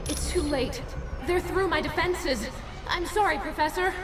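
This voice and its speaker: A woman's voice: very high-pitched, measured pace, reverberant, crowd noise, noisy background. An actress playing an earnest young female telepath speaking urgently.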